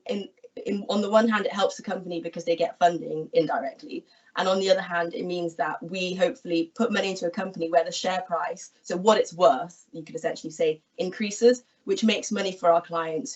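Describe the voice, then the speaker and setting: Young woman from England, career guest, slightly low voice, dull distant webcam sound. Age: 20s. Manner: friendly, explanatory, gestures while talking. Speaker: equity research analyst guest. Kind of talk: online careers talk. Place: small room at home, indoors. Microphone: laptop webcam video call. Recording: distant, dull video call audio.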